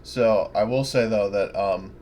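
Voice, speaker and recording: Male tabletop player, lower-pitched voice, casual and tentative, thinking aloud, noisy recording. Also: a little slow, a little mellow. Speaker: player in a tabletop roleplaying game. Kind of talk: casual game-table chat. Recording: clear background noise.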